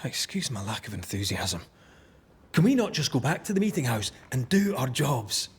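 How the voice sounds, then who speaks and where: An actor playing a wry, reluctant ghost hunter in a video game, with a husky, gravelly voice and dry, sardonic manner. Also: male voice, mid-range voice, very animated, moderate pace. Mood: weary, sceptical. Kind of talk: dramatic video game acting.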